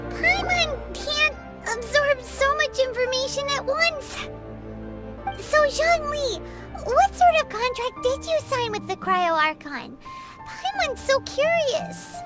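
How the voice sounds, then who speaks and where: A woman voicing a tiny, childlike, excitable fairy companion in an anime game. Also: very high voice, slow, full character voice. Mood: curious. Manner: speaks of herself in third person, childlike. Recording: game soundtrack music behind.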